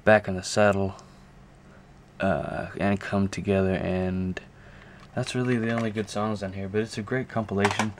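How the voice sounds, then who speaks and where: A man giving a casual, unscripted show-and-tell to a camera, talking haltingly and thinking aloud as he goes. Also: fairly low pitch, flat delivery. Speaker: video creator showing a music collection. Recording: clear background noise, amateur camera audio.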